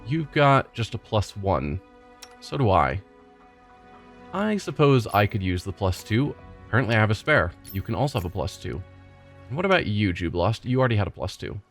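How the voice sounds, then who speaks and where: A man hosting a let's play, reading game text aloud and musing in a relaxed, chatty way. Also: lowish voice, normal speed, faint music behind. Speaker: gaming channel host.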